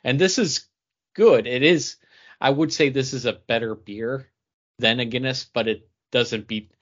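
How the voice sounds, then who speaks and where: A man's voice: mid-range voice, a little slow. A man talking casually as one of the hosts of a chatty podcast. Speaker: podcast host. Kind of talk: casual chat. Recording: slightly dull, lo-fi recording.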